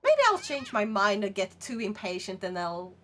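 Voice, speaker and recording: A woman with a Swedish accent, streaming and chatting casually with her live chat in a rambling, animated way, laughing often. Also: mid-range voice, normal speed, slight background noise. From Sweden.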